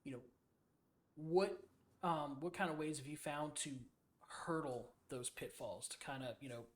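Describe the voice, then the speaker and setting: A man hosting a podcast interview in a loose, halting, informal way, with some casual swearing. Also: a little high. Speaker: podcast host.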